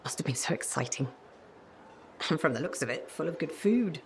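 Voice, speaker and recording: A woman's voice: low-pitched, expressive, normal speed. Game role: frank, loving elderly mother, wistful and fretful, light noise behind. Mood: gently chiding. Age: older. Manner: wistful, gently chiding. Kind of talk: video game voice acting.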